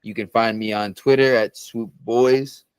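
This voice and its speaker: Brief: thirties male guest, mid pitch, dry sound, playful banter. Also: a little slow, smooth, no echo. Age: 30s. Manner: joking, animated banter. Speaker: podcast guest. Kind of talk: casual sports chat.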